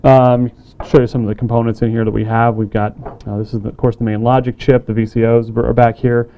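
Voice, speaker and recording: A man casually demonstrating a radio kit, plain-spoken and relaxed, with frequent fillers. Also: medium pitch, brisk, highs cut off, slight background noise. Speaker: company staff demonstrating a kit.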